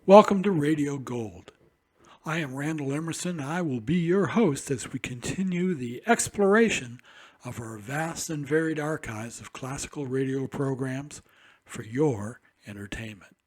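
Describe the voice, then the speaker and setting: Male radio host, slightly high pitch, scripted introduction, theatrical announcer phrasing.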